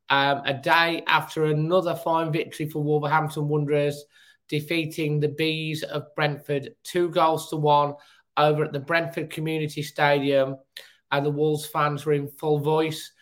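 A man with a hoarse, cold-roughened voice, hosting a casual football fan chat show. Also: on the high side, fairly bright tone. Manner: chatty, upbeat, fan talk. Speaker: football podcast host.

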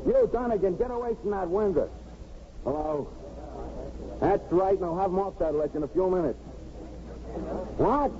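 A man playing a gruff, impatient police chief giving orders in a 1950s radio drama. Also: sits high, moderate pace, long pauses, lightly acted. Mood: brusque, irritated. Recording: muffled, hissy 1950s radio recording.

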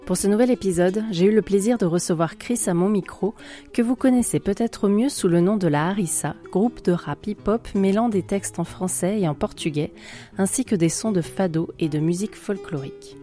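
A woman's voice: mid-range voice, moderate pace, mellow. A woman from France reading a scripted podcast introduction as the host. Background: plucked guitar music.

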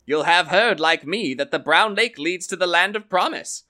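A man's voice: sits high, expressive, moderate pace, bright, forceful tone, voice pushed up, exaggerated voice. A man voicing a sly young farmer trickster, wheedling and boastful, in a raised voice.

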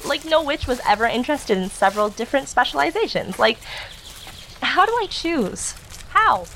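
A woman's voice: sits high, sing-song, moderate pace, sharp tone, slight background noise, full character voice, poor audio. A woman acting in an audio drama as an earnest, bossy, exasperated young witch. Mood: exasperated rambling.

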